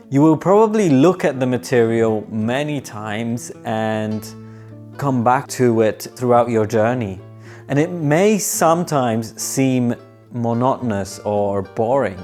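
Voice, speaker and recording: A man's voice: medium pitch, darkish tone. A young man, a non-native English speaker, giving a scripted video lesson as an aviation English tutor. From moved to Australia as a teenager. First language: not English. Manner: slow, deliberate explaining. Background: faint guitar music.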